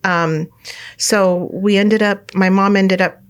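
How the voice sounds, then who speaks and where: A middle-aged woman from California, a guest telling her life story in a relaxed interview, rambling with many fillers and restarts and laughing often. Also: medium pitch, slow pace, clean tone. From United States, California.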